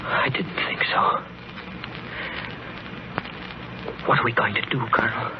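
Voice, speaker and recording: An actor playing a weak, dying spaceship radio man, despairing and halting, in 1940s radio drama style. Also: medium pitch, steady tone, over music, lightly acted. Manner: halting, weary. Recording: noisy, dull 1940s radio recording.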